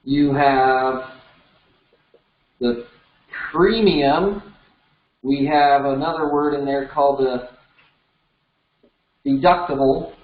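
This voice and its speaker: A man's voice: medium pitch, slow pace, long pauses. Man, thirties, American accent, conversational instructor, step-by-step explanations, roomy slightly muffled recording. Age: 30s. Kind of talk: informal explanatory lesson. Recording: roomy echo, slightly dull sound.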